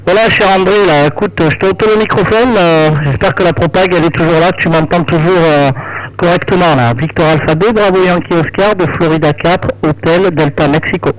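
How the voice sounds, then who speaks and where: A man with a fairly high voice speaks as an amateur radio operator in a relaxed, casual exchange. He is chatty and conversational, often closing his phrases with little tag words. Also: a little fast, poor audio.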